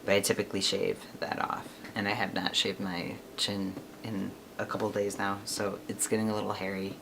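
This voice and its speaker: A man's voice: lowish voice, flat delivery, normal speed, vocal fry, background noise. Chatty young man rambling to camera. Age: 20s. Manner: chatty, rambling, laughs often. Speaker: vlogger. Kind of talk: casual chat to camera.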